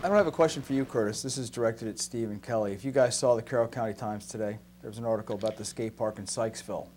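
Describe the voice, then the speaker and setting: A man's voice: on the low side, racing, darkish tone. A man speaking as a council member in a meeting room discussion. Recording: faint room noise, meeting ambience.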